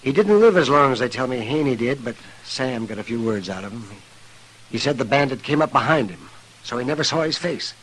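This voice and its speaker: An actor playing a grave, frank older American town doctor with a husky voice in a western radio drama. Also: male voice, medium pitch, animated, brisk, fairly bright tone, a little hiss or hum, close to an everyday voice, low-quality recording. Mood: grave, reluctant.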